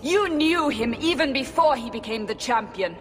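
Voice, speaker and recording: A stern church interrogator. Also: female voice, sits high, expressive, normal speed, a bit bright, in a large hall, soft background music. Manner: curt, commanding interrogation. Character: stern female church investigator, demanding.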